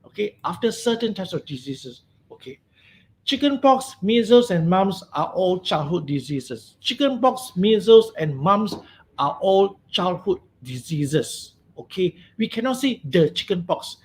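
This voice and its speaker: Malaysian English male lecturer, high register, emphatic and repetitive, keeps asking "okay?". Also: very animated, measured pace. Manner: emphatic, repeats key words, frequent "okay?" checks. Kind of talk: webinar grammar lesson.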